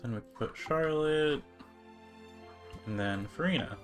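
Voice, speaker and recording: A man's voice: a little high, animated, takes it slowly. A man streaming a game and chatting casually, thinking aloud in a laid-back manner. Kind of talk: casual livestream chat. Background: game music.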